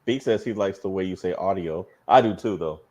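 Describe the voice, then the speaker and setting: A man's voice: deep voice, a little fast, a little mellow, muffled recording. A man from the US West Coast with an American accent, talking casually as a panelist in a group chat.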